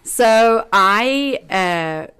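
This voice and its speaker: A woman's voice: a little high, animated, very slow, fairly bright tone. A middle-aged woman from South Africa with a South African accent, a podcast guest telling stories in a chatty way with fillers and laughs. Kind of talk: casual chat.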